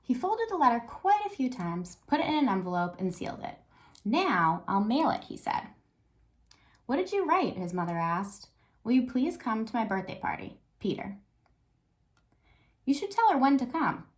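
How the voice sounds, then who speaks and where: A woman's voice: on the high side, big pitch swings, normal speed. A woman, an elementary teacher giving a read-aloud lesson to young children and thinking aloud with prompting questions.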